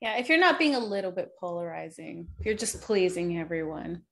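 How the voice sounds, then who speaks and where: A woman co-hosting a podcast, asking questions in a measured, teasing way. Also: fairly low pitch, animated. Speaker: podcast co-host. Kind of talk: interview questions.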